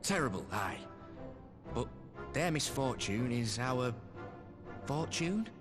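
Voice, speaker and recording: Plain-spoken male fortune hunter, medium register, gruff everyday phrasing, fantasy game under loud score. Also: mid-range voice, sing-song, slow. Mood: wry, weary. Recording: loud music behind.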